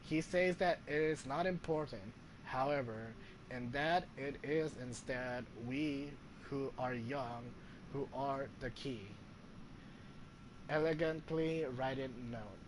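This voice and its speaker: A man live streaming a game, reading story text aloud haltingly, often stumbling and correcting himself. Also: medium pitch, noisy background. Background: game music.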